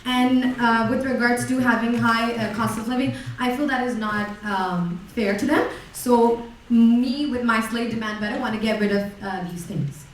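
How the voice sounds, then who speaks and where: A young woman with a slightly high voice runs through her points one by one, halting on uhs. She is heard from a distance in a strongly reverberant lecture hall. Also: a little hiss or hum. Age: young adult. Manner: hesitant, lists numbered points. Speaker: student election candidate. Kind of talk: debate answer.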